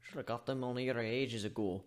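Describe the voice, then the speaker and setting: A man voicing a gruff, grumbling pirate shipbuilder with rough colloquial speech, in a dramatic reading. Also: mid-range voice, normal speed, natural voice. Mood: exasperated. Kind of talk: dramatic reading of fan fiction.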